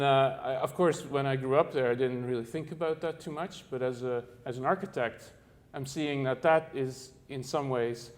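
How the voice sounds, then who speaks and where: This is a middle-aged man from the Netherlands giving a talk on architecture to an audience. His voice sits in an ordinary middle pitch. He halts often, leaning on fillers and restarting his phrases while he searches for the right words. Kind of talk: presentation to an audience.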